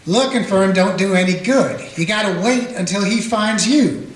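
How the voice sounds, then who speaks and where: A man's voice: high voice, normal speed, close to an everyday voice. A man playing a plain-spoken small-town Western sheriff who also narrates, with folksy grammar. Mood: steady. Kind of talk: amateur radio play acting with narration. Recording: roomy echo.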